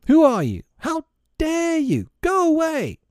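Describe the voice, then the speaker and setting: Casting: tiny haughty fairy girl played by a man, very high and shrill, indignant, quick-tempered storybook character. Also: sing-song, dark tone, no room sound, clean studio sound, heavily acted. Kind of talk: children's storybook narration.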